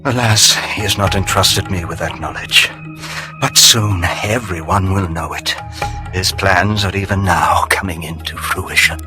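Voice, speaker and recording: An actor playing a gloating, treacherous vampire henchman in a video game, raspy and theatrical, with mocking, formal archaic phrasing. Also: low-pitched, measured pace, music in the background. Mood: bitter, defiant. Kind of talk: dramatic video game voice acting.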